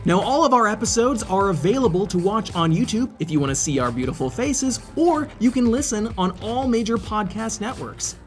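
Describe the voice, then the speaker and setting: A high-voiced man reads the co-host's lines of a podcast intro in an upbeat promo style. Light music sits behind him. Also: big pitch swings, normal speed, few pauses. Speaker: podcast co-host. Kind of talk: scripted show intro. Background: faint music.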